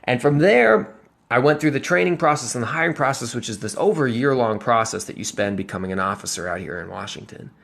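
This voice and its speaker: Young man in his twenties, mid-pitched voice, casual earnest vlogger who turns emphatic. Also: animated, fast talker, vocal fry, a bit bright. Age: 20s. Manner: earnest, emphatic, building to bursts of conviction; conversational with restarts and self-corrections. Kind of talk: personal finance vlog over slides.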